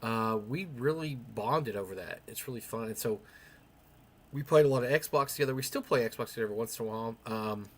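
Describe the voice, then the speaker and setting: A man's voice: medium pitch, a little fast. Young-sounding older man telling casual stories. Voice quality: young-sounding for his age. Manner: chatty, animated retelling with quoted dialogue. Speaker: live stream co-host. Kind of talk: casual chat, rambling anecdotes.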